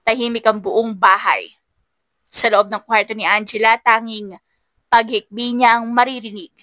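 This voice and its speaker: A woman's voice: fairly high pitch, fairly even intonation, normal speed, pushed and bright, dry recording. A woman narrating a scripted audiobook story in an emotive, dramatic manner.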